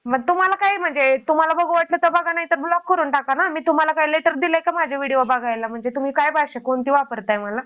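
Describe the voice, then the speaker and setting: A woman's voice: high-pitched, fast talker, hardly any pauses. A woman arguing on a phone call, confrontational and firing one indignant rhetorical question after another. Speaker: prank-call video creator. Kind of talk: argumentative phone conversation. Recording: narrow tinny phone-line sound.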